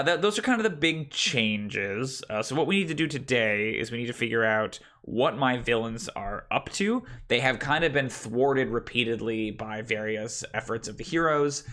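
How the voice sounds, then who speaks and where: A deep-voiced man talks through plans for his tabletop campaign, thinking aloud and rambling with plenty of fillers. His tone is bright and forceful, at an ordinary middle pitch. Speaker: game master. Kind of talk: casual prep talk about running a tabletop campaign.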